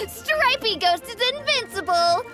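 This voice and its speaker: A woman's voice: extremely high pitch, heavily acted. A woman voicing a proud, earnest little boy in a video game, with an emphatic childlike delivery. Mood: gloating.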